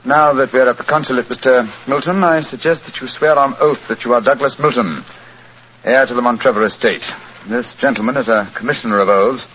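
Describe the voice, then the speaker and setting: An actor playing a shrewd, composed English gentleman detective with a British accent, speaking formally and precisely in 1940s radio style. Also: mid-range voice, a little fast, natural voice. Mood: smooth, persuasive. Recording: muffled, hissy 1940s radio recording.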